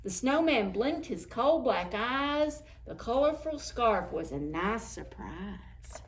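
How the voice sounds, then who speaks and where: A woman reading picture books aloud to preschoolers, with expressive, deliberate, sing-song storytelling. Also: a little high. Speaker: children's library storytime reader. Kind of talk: read-aloud picture book narration for preschoolers.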